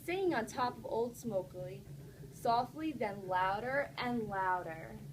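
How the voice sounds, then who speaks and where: A woman's voice: fairly high pitch, lively intonation, measured pace. A woman teaching young children, reading a story aloud and encouraging them with frequent check-in questions. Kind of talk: interactive classroom read-aloud and discussion. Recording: noisy classroom recording.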